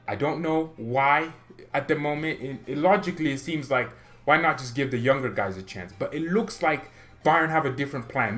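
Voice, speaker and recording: A man's voice: medium pitch, fairly bright tone. A young man hosting a fan channel, talking casually and opinionatedly, rambling with restarts and getting heated. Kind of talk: casual solo commentary.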